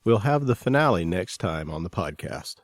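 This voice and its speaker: Male podcast host, deep voice, announcing segments in a dry close recording. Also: big pitch swings, normal speed, no room sound, faint music behind.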